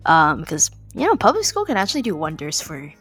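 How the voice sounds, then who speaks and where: A woman's voice: low voice, wildly swooping pitch, brisk, a bit bright, breathy edge, soft background music. A young woman from California hosting a casual podcast interview, chatty with lots of ums and likes. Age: young adult. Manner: chatty, many ums and likes, laughs between phrases. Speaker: podcast host.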